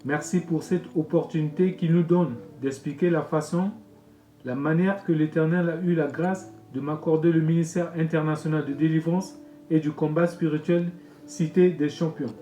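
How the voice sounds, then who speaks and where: A man, a pastor reading his own testimony in a solemn, measured way. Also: fairly high pitch, steady tone, mellow. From Congo-Brazzaville. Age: 50s. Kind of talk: read personal testimony. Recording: echoey room with music bed.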